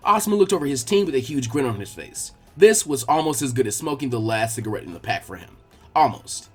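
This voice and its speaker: A man reading fan fiction aloud as an expressive story narrator. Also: medium pitch, quick pace, slightly rough, soft background music.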